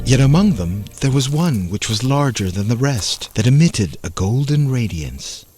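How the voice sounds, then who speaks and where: A man narrating a scripted folktale in a dramatic, expressive storytelling manner. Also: mid-range voice, moderate pace. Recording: loud music behind the voice. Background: music.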